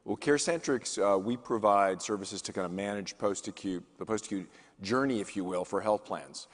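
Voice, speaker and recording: A man's voice: lowish voice, normal speed. A male chief executive answering questions on a conference panel, earnest, often restarting his phrases. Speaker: CEO guest speaker. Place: conference stage in a large hall. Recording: long hall reverb.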